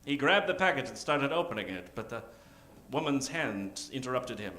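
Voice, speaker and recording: A man's voice: mid-range voice, lively intonation, moderate pace, pushed and bright. A man narrating an improvised parody of old-time radio drama in a theatrical, melodramatic way. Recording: roomy live stage sound.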